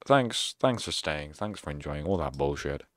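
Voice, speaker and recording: Low-voiced man, sarcastic and rambling. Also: animated, normal speed, dry recording, studio quality. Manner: rambling, sarcastic, self-mocking jokes. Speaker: streamer. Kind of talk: casual end-of-stream chat.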